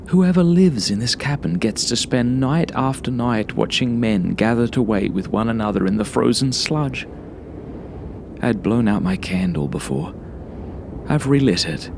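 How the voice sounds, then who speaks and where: A man narrating a first-person horror story like a journal, brooding and sometimes hushed, in short halting phrases. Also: on the low side, creaky, background noise. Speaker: author reading own horror story.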